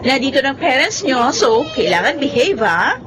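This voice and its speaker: A woman's voice: high-pitched, very animated, moderate pace, background noise. A woman with a Filipino accent playing an orderly schoolteacher addressing children and parents in a radio drama. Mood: cheerful, instructive.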